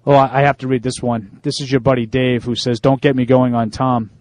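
A man's voice: mid-range voice, little pitch movement, darkish tone, dry recording. A man co-hosting a sports talk show, chatting casually and reading out listener emails with plenty of fillers. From Italian-American. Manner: conversational, reads emails aloud with halting fillers.

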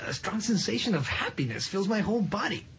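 An actor playing an anxious, excitable patient in a comic ad, confiding haltingly and earnestly to a doctor. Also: mid-range voice, lo-fi sound, slight background noise. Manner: halting, earnest, building to urgency. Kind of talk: comic ad acting.

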